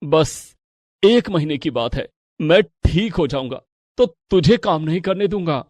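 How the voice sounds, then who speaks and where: A concerned middle-aged father, acted by a man, earnest and admonishing. His voice is slightly high for a man, in a dry storybook recording. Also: animated, measured pace, darkish tone, dry recording. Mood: worried.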